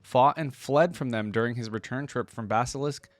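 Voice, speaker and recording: A man's voice: medium pitch, normal speed, dry recording, soft background music. A man hosting a reaction video, talking casually and sometimes reading reference text aloud.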